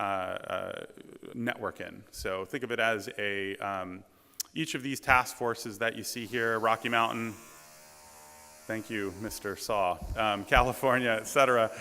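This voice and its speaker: Brief: male conference presenter, booming, smooth, resonant, bright tone, halting with frequent fillers, reverberant hall. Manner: halting, many ums and restarts. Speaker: conference speaker, task force president. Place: large lecture hall.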